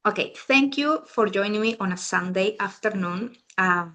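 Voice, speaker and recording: A woman's voice: mid-range voice, normal speed, fairly bright tone. Chatty woman with a Peruvian accent. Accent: Peruvian Spanish. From Peru. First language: Spanish. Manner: chatty, restarts sentences often. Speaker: family constellations facilitator. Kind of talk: casual talk to a small group.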